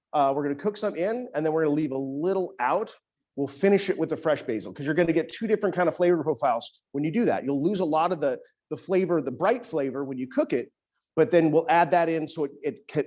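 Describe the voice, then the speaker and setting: A man's voice: on the high side, expressive, quick pace, a little mellow. A man, an executive chef, giving a casual cooking demonstration in a teaching kitchen, talking chattily with many restarts.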